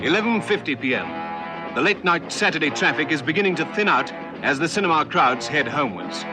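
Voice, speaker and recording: A man's voice: a little high, expressive, brisk. A man narrating a vintage police radio drama in a scripted, dramatic style. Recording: vintage radio recording, music behind.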